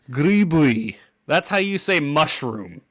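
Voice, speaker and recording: A man's voice: on the high side, a bit bright. A man co-hosting a podcast in a laid-back casual chat, laughing often and trailing off mid-sentence. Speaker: podcast co-host.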